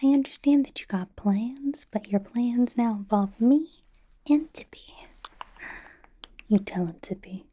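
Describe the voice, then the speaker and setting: A woman playing a chatty, suspicious young superheroine in a soft, breathy ASMR whisper, rambling through a playful interrogation. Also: on the high side, measured pace. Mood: playfully suspicious. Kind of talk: ASMR roleplay, mostly whispered personal attention.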